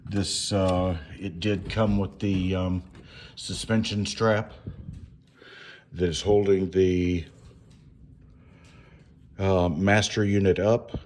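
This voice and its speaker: A man giving a casual, unscripted hands-on walkthrough at home, speaking haltingly with frequent pauses mid-sentence. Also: deep voice, flat delivery, a little mellow. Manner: halting, hesitant, pausing often mid-sentence. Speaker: home DIY reviewer. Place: indoors at home.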